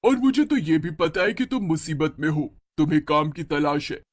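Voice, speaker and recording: A man voicing a vengeful witch ghost in a cartoon horror tale, coaxing and commanding with drawn-out words. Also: fairly high pitch, animated. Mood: commanding, bargaining. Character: vengeful female witch ghost, eerily inviting, bargaining. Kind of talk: cartoon horror moral story.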